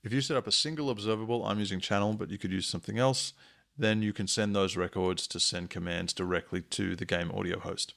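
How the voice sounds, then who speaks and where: A man presents a screencast tutorial in a fairly low voice. There is a clear creak in it, and his delivery is measured and instructional, like someone walking a learner through each step. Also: steady tone, fairly bright tone. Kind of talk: explanatory screencast tutorial narration.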